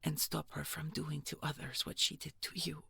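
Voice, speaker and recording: A woman playing a grave, protective nightmare being in an audio horror drama, speaking in a husky voice with formal, guarded earnestness. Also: low voice, little pitch movement, normal speed, bright, forceful tone, no room sound, studio quality, heavily acted. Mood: hushed, wary. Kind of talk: audio horror roleplay acting.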